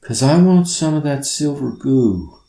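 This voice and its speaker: A playful young girl on the edge of sleep, acted by a male storybook reader. Her words slur drowsily in a raised, swooping pitch, as in a children's bedtime tale. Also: lively intonation, a little slow, gravelly, dark tone, echoey room. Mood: drowsy, playful.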